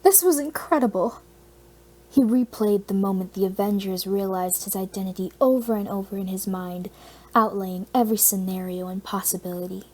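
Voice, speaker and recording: A woman reading scripted fiction as an audiobook narrator, with expressive storytelling. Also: medium pitch, normal speed.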